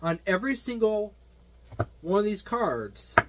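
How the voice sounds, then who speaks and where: A man giving a casual, unscripted product overview as a hobby reviewer, talking haltingly and wandering into side remarks. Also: sits high, very animated, background noise. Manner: halting, digressive delivery. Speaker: tabletop gaming reviewer.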